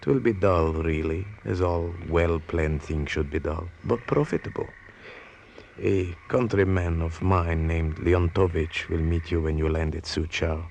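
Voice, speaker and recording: A man playing a cold, sly smuggling boss with a gravelly voice and formal, measured speech in an old-time radio drama. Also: deep voice, a little mellow. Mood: smooth, businesslike. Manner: measured, formal, smoothly sinister. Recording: noisy vintage radio recording.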